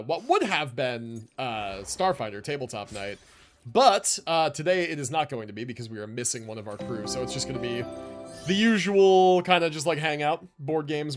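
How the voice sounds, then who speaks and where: A man with a slightly high, lively voice chats casually through a game livestream. He is slangy and laid-back but enthusiastic, swearing freely, with game music faintly behind him. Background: video game music and character voices.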